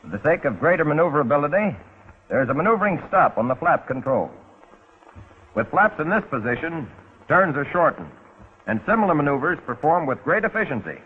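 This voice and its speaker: A man's voice: fairly high pitch, big pitch swings, a little fast, husky. An American man narrating a 1940s instructional film in a scripted, instructional way with folksy, wry touches. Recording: muffled, phone-like 1940s film soundtrack.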